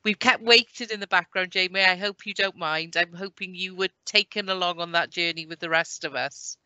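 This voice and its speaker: A woman's voice: on the low side, moderate pace, sharp tone, clean tone, no room sound, muffled recording. A woman chairing an event in an informal, chatty and effusive way.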